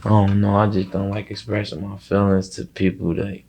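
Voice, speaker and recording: A man talking casually as an interview guest, slangy and reflective, often halting and leaning on filler words. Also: on the low side, level tone, mellow.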